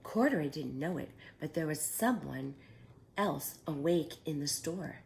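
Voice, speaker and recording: A woman reading a children's picture book aloud with animated, storytime expression. Her voice sits on the lower side but swings widely in pitch, and she stops to ask her young listeners questions. A faint noise lies under the recording. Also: measured pace, vocal fry.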